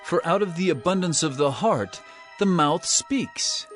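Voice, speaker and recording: A man's voice: on the high side, animated, a little slow, a bit bright, soft background music. An actor playing a stern, earnest male teacher with authority, declaiming emphatically in a dramatized scripture production. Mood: stern rebuke.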